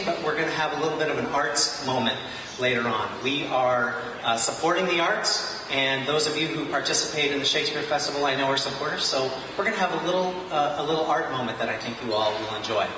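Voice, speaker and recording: A wealth advisor giving an informal welcome speech at an evening event, pausing on fillers. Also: male voice, on the high side. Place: large echoey venue, a car showroom. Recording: echoey hall from far off, noisy.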